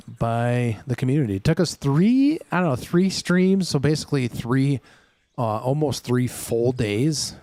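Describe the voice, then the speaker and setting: A male streamer chatting loosely with his viewers while he plays, circling back on his sentences and filling gaps with ums. His voice sits at a middle pitch and sounds dry and close, with no room echo. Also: warm tone. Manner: rambling, many fillers and restarts; chatty, talks straight to chat viewers. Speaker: livestream gaming host. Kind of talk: casual livestream chat.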